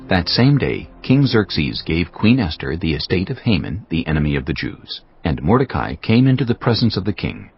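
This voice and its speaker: A deep-voiced man narrates scripture with solemn, measured drama. His tone is husky and his pitch moves expressively, with music underneath. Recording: dull lo-fi audio with music behind.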